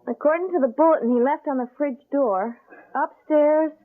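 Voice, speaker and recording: Through a narrow, vintage radio sound comes a woman acting a young wife with a notably high voice. She is affectionate yet anxious and easily frightened, played with theatrical expression as in a radio mystery play. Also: normal speed, natural voice. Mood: light, chatty. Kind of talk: old-time radio mystery drama acting. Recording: narrow-band vintage radio drama recording.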